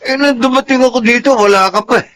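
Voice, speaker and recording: An actor playing a meek, patient Filipino husband and son in a radio drama, speaking in a mild, deferential, excusing way. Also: male voice, very high voice, quick pace, fairly bright tone.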